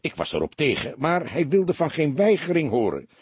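Polite male businessman witness a man acts him out, explanatory, animated intonation, faintly rough tone, detective audiobook. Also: on the high side, normal speed, dry recording, voice pushed up. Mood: amused, chatty. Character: earnest, round-faced businessman giving his account.

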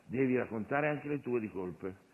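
A man's voice: medium pitch, lively intonation, moderate pace. A man playing an irreverent, streetwise Jesus, commanding and jocular in a colloquial comic stage monologue. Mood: preaching. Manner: commanding, colloquial, mock-preaching. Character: irreverent streetwise Jesus, bossy, jocular master miracle-worker. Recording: phone-like radio broadcast of stage show.

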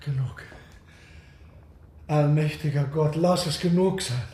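Radio drama: broken, despairing captain, slow dark-toned pleading in a reverberant space. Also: male voice, fairly high pitch. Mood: anguished despair. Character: tormented, broken sea captain pleading to God. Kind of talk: radio play acting. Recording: echoing room.

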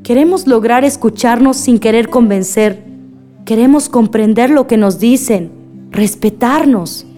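An actress playing an earnest, grieving village woman who makes measured appeals for peace in a radio drama. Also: on the high side, warm tone, close to an everyday voice. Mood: hopeful, urging. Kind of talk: radio drama acting, assembly speech. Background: music.

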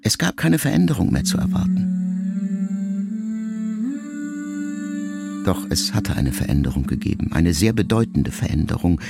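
Brooding middle-aged son, deep voice, measured confessional monologue, radio drama, music underneath. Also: male voice, gravelly, full character voice. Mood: reflective. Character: reflective grown son, a famous writer, addressing his dying mother. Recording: music behind the voice.

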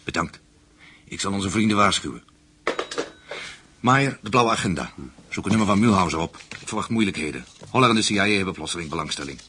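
An actor playing the brisk, commanding boss of a secret network, speaking curtly in a radio play. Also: male voice, low-pitched, animated, sharp tone. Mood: curt, wary. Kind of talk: Dutch radio play acting. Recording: radio play with faint background noise.

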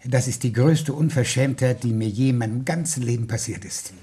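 An actor playing an outraged, authoritarian middle-aged school headmaster in a radio play, blustering and formal. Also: male voice, medium pitch, fairly quick, warm tone, lightly acted.

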